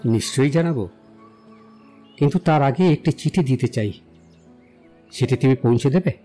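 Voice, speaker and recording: An actor playing a self-assured young romantic in an audio drama, speaking in a poetic, declamatory and philosophizing way. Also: male voice, medium pitch, normal speed, dark tone, natural voice. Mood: earnest, explaining. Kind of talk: dramatized audio story acting. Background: background music.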